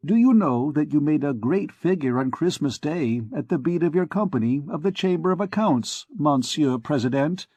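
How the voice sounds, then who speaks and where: A man's voice: fairly high pitch, animated, moderate pace, hardly any pauses, darkish tone, dry recording, pitched above the performer's own voice. A man voicing a guarded, learned archdeacon who asks courtly, probing questions in an audiobook. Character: guarded, learned male archdeacon, middle-aged. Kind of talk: audiobook dialogue.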